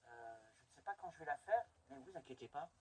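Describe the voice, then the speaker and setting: A man's voice: fairly high pitch, animated, brisk, mellow, husky. A man chatting casually and enthusiastically as he hosts a rambling home video about his collection. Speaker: host of a collectors' video channel. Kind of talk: casual vlog chat showing a collection. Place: indoors at home. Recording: noisy home recording.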